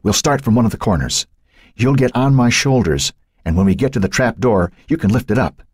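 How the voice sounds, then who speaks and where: A man's voice: mid-range voice, animated, brisk, a little mellow, breathy edge, no room sound, studio quality, voice pushed up. A man voicing a determined young boy who coaxes and insists, guiding a scared friend in a storybook reading. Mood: coaxing. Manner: urging, insistent.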